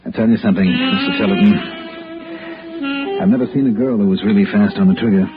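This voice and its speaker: Guy playing tough american radio detective, low voice, clipped and wry, muffled old recording w music. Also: fast, lightly acted. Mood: wry, relieved. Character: tough, cool male insurance investigator. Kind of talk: 1960s radio noir detective acting.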